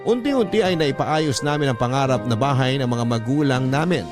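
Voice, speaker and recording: A man's voice: medium pitch, animated, normal speed, over music, lightly acted. A man acting as a grateful, devout storyteller, narrating a scripted radio drama earnestly and reflectively with a Filipino accent. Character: grateful, devout storyteller recalling family hardship.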